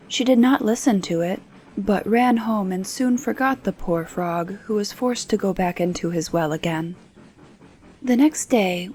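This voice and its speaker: A woman narrates a bedtime fairy tale in a soft ASMR style that drifts toward a whisper. Her pace is very slow and unhurried, with some background noise. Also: medium pitch. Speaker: storyteller.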